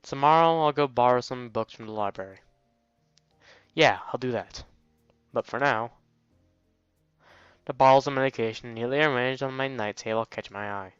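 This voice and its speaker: A man's voice: medium pitch, moderate pace, pauses often, fairly bright tone, clear, resonant tone, no echo, muffled recording, faint music behind. A man hosting a let's play, reading a visual novel aloud and breaking off for wry, mocking commentary. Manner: wry, mocking asides to the game.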